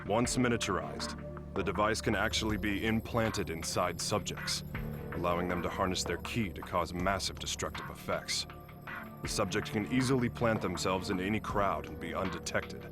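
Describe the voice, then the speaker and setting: Man acting as grave cartoon fighter, very low voice, solemn, deliberate, music behind. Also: lightly acted. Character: grave, serious male fighter briefing his team. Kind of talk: English dub animated film acting.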